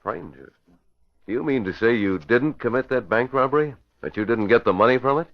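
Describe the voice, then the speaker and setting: A man's voice: mid-range voice, expressive, moderate pace, natural voice. A man with an American accent playing an incredulous man questioning his attacker in an old radio crime drama. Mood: alarmed, probing. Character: alarmed, questioning man held at gunpoint. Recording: muffled old radio broadcast recording.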